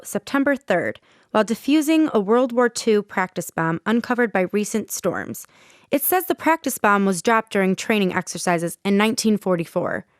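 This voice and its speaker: A woman's voice: medium pitch, no echo, studio quality, close to an everyday voice. A woman playing a concerned, inquisitive broadcast host in an audio drama. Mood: reporting.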